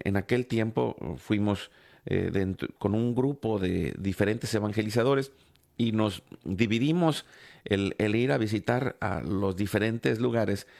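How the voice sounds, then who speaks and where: A man's voice: lowish voice, creaky, darkish tone. A man hosting a faith program, telling a personal story in a reflective, hesitant way with many fillers and restarts.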